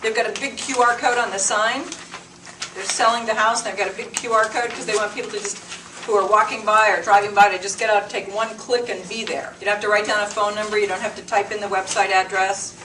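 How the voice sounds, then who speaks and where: A woman's voice: mid-range voice, fairly even intonation, fast. A woman giving an informal, conversational workshop presentation to a small group, explaining things plainly. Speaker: presenter at a business meetup. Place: meeting room with a small group. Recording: roomy, distant mic with clear noise.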